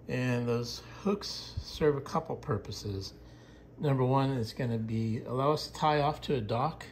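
Slow-talking man in his garage. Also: mid-range voice, slight background noise. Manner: slow, plain-spoken, frequent uh and um fillers. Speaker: video creator showing his modified boat. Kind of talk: casual walkaround show-and-tell. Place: indoor home garage.

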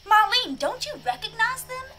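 Genteel farm wife: high, lively, folksy, sweetly menacing, radio drama. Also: female voice, normal speed, music in the background. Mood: wondering. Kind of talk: science fiction radio drama acting.

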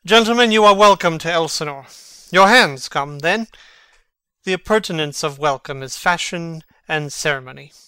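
A man acts a young prince in a Shakespearean drama, witty and mocking as he feigns madness. His voice is highish, very bright and clean, recorded close and dry. The delivery is theatrical, with a sly, sardonic edge. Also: animated, moderate pace, smooth, dry recording. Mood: courteous, sly. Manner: theatrical, teasing, archly sardonic.

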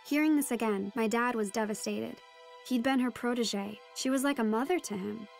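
Actress as a higher-voiced teenage girl narrator. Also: moderate pace, gravelly. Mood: reflective. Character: teenage daughter recounting a deep-sea adventure. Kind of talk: first-person scripted narration. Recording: music behind the voice.

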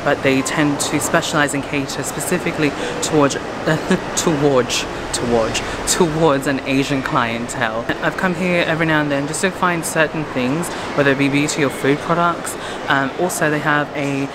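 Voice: medium pitch, moderate pace, heavy background noise. A young adult vlogger giving a chatty, rambling walking tour, filmed selfie-style at arm's length while strolling through streets and shopping centres. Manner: chatty, rambling, with fillers and asides. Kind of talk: casual vlog narration. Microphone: handheld selfie camera at arm's length.

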